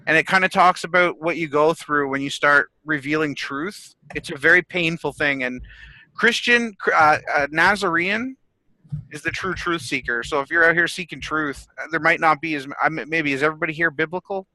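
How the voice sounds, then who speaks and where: A man's voice: fairly high pitch, brisk, pushed and bright, no room sound, low-quality recording. A man on a group video call who talks intensely and with firm opinions, stumbling over restarts.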